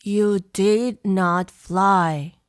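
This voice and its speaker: A woman's voice: medium pitch, steady tone, extremely slow, dry recording, voice pushed down. A woman voicing a blunt, doubting animal friend, emphatic and contradicting, in a children's read-aloud.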